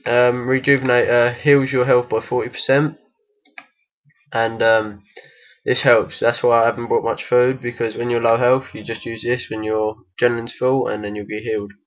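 A man with a croaky voice from being unwell gives a casual, hesitant game tutorial voice-over. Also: medium pitch, level tone, normal speed, highs cut off. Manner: hesitant, many ums and restarts. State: croaky from illness. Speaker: game guide maker.

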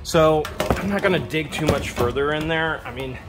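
A man's voice: fairly high pitch, normal speed, loud music behind the voice. An American man in his forties chatting casually in short, joking asides as he hosts a vlog. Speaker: vlog host, storage unit buyer. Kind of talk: casual chat while sorting through finds. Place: inside a metal storage unit. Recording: noisy handheld recording in storage unit.

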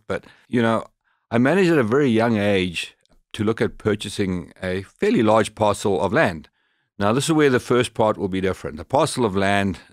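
A man's voice: lowish voice, dry recording, studio quality. A middle-aged man with a Zimbabwean accent, telling his background conversationally as an interview guest. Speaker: podcast guest, professional hunter. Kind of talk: conversational storytelling.

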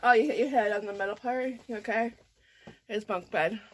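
A woman in her thirties from Canada, chatting casually at home in a rambling way, full of fillers and soft laughs. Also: mid-range voice, brisk, slight background noise, low-quality recording. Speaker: vlogger. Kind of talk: casual morning coffee chat.

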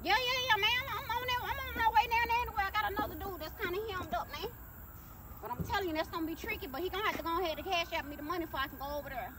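A woman's voice: very high-pitched, racing, a crowd in the background. A woman playing a slick, shady self-styled male lawyer in a comedy skit, talking evasively in repetitive street slang. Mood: evasive, assuring. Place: outdoors beside a shipping container. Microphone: phone camera from a few meters away. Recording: distant outdoor phone audio with noise.

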